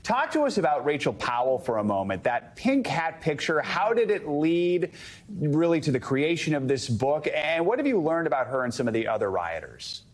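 A man's voice: fairly high pitch, sing-song, moderate pace. An American man in his thirties anchoring the news, introducing a story and putting questions to a guest. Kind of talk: news presenting and interviewing. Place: TV news studio.

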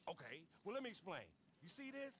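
An actor playing a cocky, excitable stoner hustler in a video game, American accent, slangy and profane. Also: male voice, high-pitched, fairly quick, slight background noise. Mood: excited. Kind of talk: video game cutscene acting.

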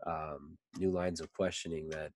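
Low-voiced rambling man on a call. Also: flat delivery, normal speed, no echo, clean studio sound. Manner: rambling, loose, heavy on "like" and "you know". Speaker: podcast guest. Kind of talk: casual conversation. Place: indoor room. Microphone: video call, desk mic.

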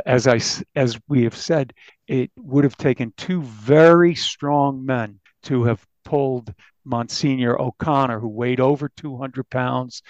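A male journalist talking through a crime story in a podcast interview, halting and repeating words for emphasis. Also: medium pitch, darkish tone, no echo, dull audio.

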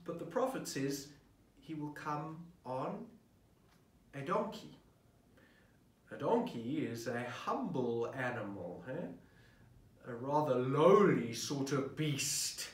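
Slow, reflective priest in a roomy office. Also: male voice, medium pitch, sing-song. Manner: reflective, posing questions to the listener. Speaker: parish priest. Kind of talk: sermon preparation talk. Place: office at a desk, indoors. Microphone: camera at desk distance. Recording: roomy, mic at a distance.

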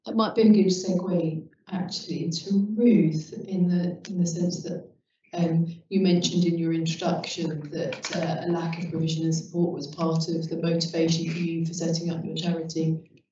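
A woman's voice: fairly low pitch, little pitch movement, normal speed, mellow, slight background noise. A woman moderating a university panel, polite and welcoming as she hands questions to the speakers. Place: university seminar room with audience. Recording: very echoey room, far from mic, dull.

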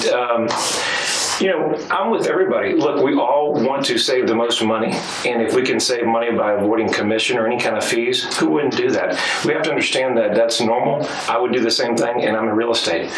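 A man in his thirties with a slightly hoarse, froggy voice, hosting a live radio talk show in a conversational, upbeat sales-style way. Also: medium pitch. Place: small radio studio, indoors. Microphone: studio mic on boom, wearing headphones. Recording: roomy, distant studio sound with noise.

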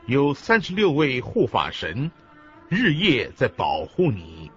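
A man's voice: mid-range voice, wildly swooping pitch, slow, slightly rough. A man solemnly reciting scripted religious teachings in a radio lecture. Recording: dull lo-fi audio with background music.

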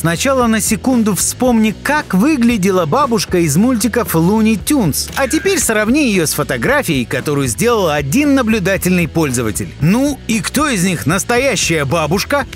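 A man's voice: sits high, sing-song, moderate pace, few pauses, faint music behind. A man reading scripted narration for an entertainment video, chatty and teasing, addressing the listener directly.

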